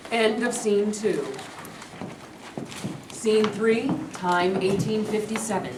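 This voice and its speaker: A woman's voice: mid-range voice, steady tone, slow pace, darkish tone. A woman reading stage directions as scripted narration. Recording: echoey room, distant mic, clear noise.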